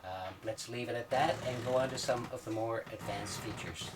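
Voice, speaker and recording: A man in his sixties giving a step-by-step instructional demonstration in a plain, methodical way with hesitant pauses. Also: medium pitch. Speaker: sailing instructor. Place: small wood-panelled sailboat cabin at the navigation station. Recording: distant mic, clear background noise.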